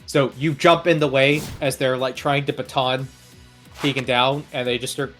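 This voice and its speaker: A man's voice: mid-range voice, little pitch movement, moderate pace, a bit bright, soft background music. A man narrating a tabletop game as game master in a casual, improvised, playful way.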